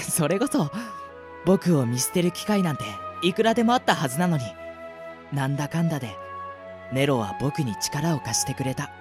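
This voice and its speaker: A man acting a loyal, gentle young adventurer boy in an amateur radio drama, speaking earnestly and politely. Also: fairly high pitch, expressive, normal speed, over music, lightly acted. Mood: sincere. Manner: soft-hearted, earnest, polite.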